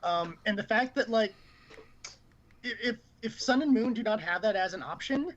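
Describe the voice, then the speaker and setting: High-pitched male podcast co-host, opinionated rambling gamer chat, muffled lo-fi audio. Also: animated, normal speed, a bit bright, slight background noise. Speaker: podcast co-host, gaming news reporter. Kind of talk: casual panel chat about video games.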